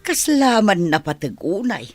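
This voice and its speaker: A woman's voice: medium pitch, sing-song, a little slow, faint music behind. A woman acting a snide, skeptical household worker in an Ilocano radio drama.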